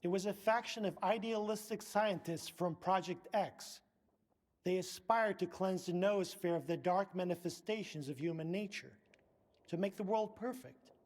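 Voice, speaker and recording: A man's voice: sits high, normal speed, a little mellow. A man playing a pompous scientist and research director in a video game, speaking formally, precisely and with condescension. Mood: dry, lecturing. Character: pompous middle-aged male scientist, director of research center.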